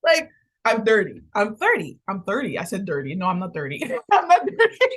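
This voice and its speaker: A woman of thirty, giving an impromptu casual vlog update in an animated, playful way, laughing often. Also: medium pitch, moderate pace. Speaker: podcast host.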